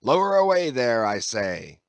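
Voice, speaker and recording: A man's voice: medium pitch, very animated, a little slow, no room sound. A man voicing a stern old whaling captain who roars orders across the deck. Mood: commanding. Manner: thunderous shouted orders.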